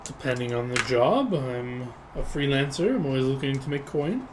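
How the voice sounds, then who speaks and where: A man acts out a freelance spy for a tabletop game. The voice is male and mid-pitched, with formal, measured, slightly hesitant speech. There is faint noise behind it. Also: expressive, lightly acted. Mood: measured, confident.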